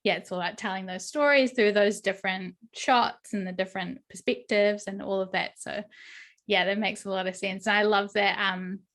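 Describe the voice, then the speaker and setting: A woman's voice: mid-range voice, steady tone, moderate pace, a bit bright. A young adult woman with an Australian accent hosting a podcast interview, upbeat and chatty with frequent "um" and "like". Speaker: podcast host and brand coach.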